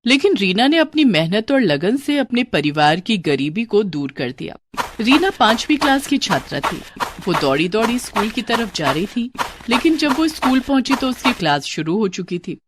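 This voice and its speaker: A woman's voice: fairly high pitch, big pitch swings, normal speed, noisy background. A woman reading scripted narration for a children's story.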